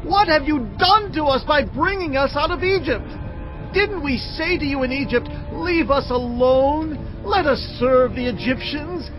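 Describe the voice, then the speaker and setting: Panicked complaining Israelites. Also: male voice, measured pace, music in the background, poor audio. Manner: anxious, accusing, high-strung. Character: frightened, complaining crowd of Israelites.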